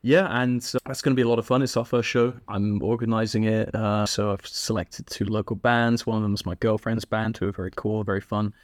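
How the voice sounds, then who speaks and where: A young man from England with an English accent, a guest telling stories in a relaxed, chatty way with frequent laughs and fillers. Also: lowish voice, fairly quick, few pauses, no room sound, clean studio sound. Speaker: podcast guest. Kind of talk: casual interview chat.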